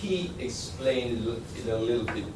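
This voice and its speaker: A man with an Italian accent giving a workshop lecture, conversational and halting, with many fillers and restarts. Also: mid-range voice, animated. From Italy. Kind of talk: workshop lecture with audience questions. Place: lecture room. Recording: echoey room, distant mic, noisy.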